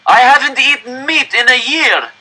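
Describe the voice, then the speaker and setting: A man voicing frightened, angry, starving townspeople in a story, shouting in protest from a crowd. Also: animated, normal speed, heavily acted, poor audio. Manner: high, loud, protesting shouts.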